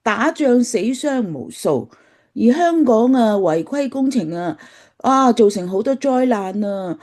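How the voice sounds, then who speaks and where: A woman's voice: fairly high pitch, expressive, unhurried, a little mellow. A woman playing a plain-spoken, skeptical mother in a Cantonese radio drama, with a blunt, complaining manner.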